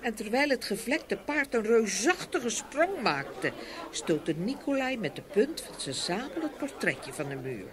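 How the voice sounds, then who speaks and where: A woman narrating a scripted fairy tale with expressive storytelling. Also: fairly high pitch, a little slow, crowd noise.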